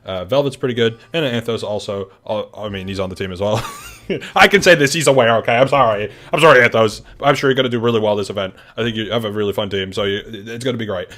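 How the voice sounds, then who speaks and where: A man's voice: lowish voice, fast, fairly bright tone. A young man who is sick and losing his voice, hosting a casual team announcement in an upbeat, rambling way with lots of fillers. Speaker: event host announcing teams.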